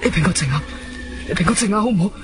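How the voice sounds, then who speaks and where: An actor playing a frightened, timid young man with a Cantonese accent, pleading in halting, repeated phrases in a radio drama. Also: sits high, expressive, faint music behind. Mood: panicked pleading.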